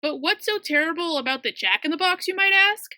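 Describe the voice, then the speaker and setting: A woman's voice: extremely high pitch, expressive, a little fast, bright, forceful tone, a little hiss or hum. A young woman narrating a casual scripted video review, chatty and full of wry asides.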